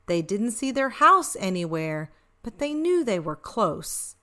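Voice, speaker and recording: A woman reading a story aloud for children as host, expressive and speaking directly to young listeners. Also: mid-range voice, measured pace.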